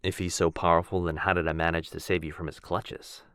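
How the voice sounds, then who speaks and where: Man playing a very deep-voiced gentle vampire lord. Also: flat delivery, brisk, no room sound, studio quality. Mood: solemn storytelling. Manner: soft-spoken, formal, tender, with hushed breathy dips. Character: gentle, earnest aristocratic vampire lord, protective and melancholy. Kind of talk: intimate boyfriend ASMR roleplay.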